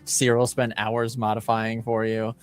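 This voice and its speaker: A man acting as game master, improvising narration for a tabletop roleplaying game with hesitant, halting phrasing. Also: on the low side, monotone, clear, resonant tone.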